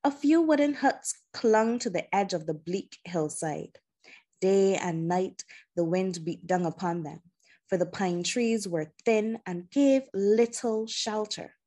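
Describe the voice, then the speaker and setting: A woman narrating a children's novel in audiobook style, with measured, deliberate phrasing and pauses. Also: medium pitch, warm tone.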